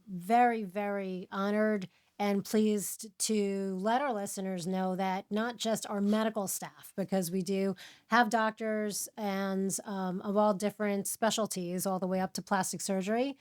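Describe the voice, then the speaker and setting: A woman's voice: medium pitch, a little mellow. A middle-aged woman hosting a podcast, chatting casually in long run-on sentences full of industry terms. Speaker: podcast host. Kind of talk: casual chat.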